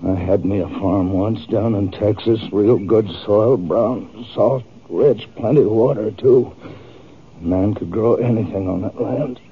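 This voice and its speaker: Wounded, bitter farmer, low muffled voice. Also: male voice, a little hiss or hum. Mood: bitter, resentful. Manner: rustic, halting, weary speech. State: injured, strained. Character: wounded, bitter, grieving male homestead farmer from Texas. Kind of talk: 1950s radio western acting. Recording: narrow, muffled old-time radio recording.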